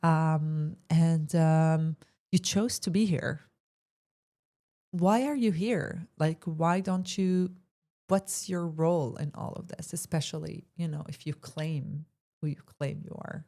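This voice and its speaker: A woman's voice: sits low, steady tone, slow, warm tone. A woman co-hosting a podcast, asking questions in a teasing, laughing way. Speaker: podcast co-host. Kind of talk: casual podcast conversation.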